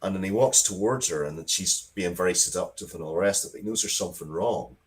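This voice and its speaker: Very deep-voiced man, casual storyteller. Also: quick pace, a little hiss or hum. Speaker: interviewed writer of comics and stories. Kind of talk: casual interview, storytelling about own work.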